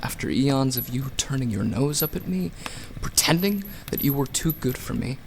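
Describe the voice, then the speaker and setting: A man's voice: medium pitch, animated, moderate pace, creaky, slight background noise. A man playing a vengeful king of the gods in a radio play, taunting and theatrical. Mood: gloating, taunting. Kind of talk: audio drama acting.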